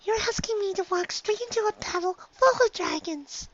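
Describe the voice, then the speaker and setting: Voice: very high voice, moderate pace, a bit bright, no echo, dull audio, pitched above the performer's own voice. A woman voicing a shy, apologetic young female pegasus pony in a cartoon fan story, hesitant and meek. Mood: anxious.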